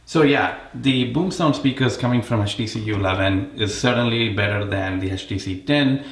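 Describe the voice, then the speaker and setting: A man presents a casual gadget comparison in a slightly low voice with a gravelly edge. He explains features as a reviewer would, and the room adds a noticeable echo. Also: normal speed, slight background noise. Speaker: tech reviewer and host.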